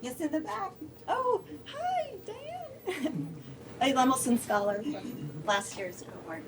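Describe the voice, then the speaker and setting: Woman moderator, higher voice, off-mic. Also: sing-song, slow, recorded from a distance, background noise. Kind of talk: conference moderation.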